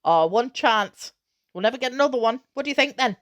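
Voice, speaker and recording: A woman voicing an excited father in a storybook, speaking with breathless, emphatic enthusiasm about his big plans. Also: on the high side, fairly quick, voice pushed up, lightly acted. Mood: eager, persuasive. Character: excited, eager father with big sailing dreams. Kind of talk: acted dialogue in a children's novel reading.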